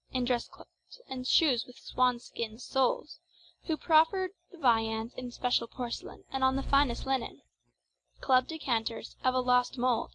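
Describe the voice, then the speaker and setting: A woman narrates an audiobook of classic fiction. Her voice sits somewhat high, and her delivery is measured and formal, as scripted storytelling. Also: fairly bright tone, poor audio.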